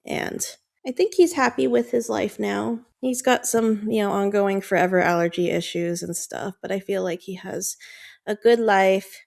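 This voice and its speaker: Brief: podcast guest, woman, mid-pitch, loose rambling chat full of fillers, affectionate. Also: fairly even intonation, normal speed, vocal fry, darkish tone. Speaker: podcast guest, dog owner. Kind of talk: casual chat.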